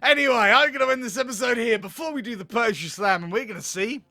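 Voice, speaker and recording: A man hosting a let's play, talking in a playful, animated and sweary way as he reads a visual novel aloud. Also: extremely high pitch, quick pace, soft background music. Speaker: gaming video host.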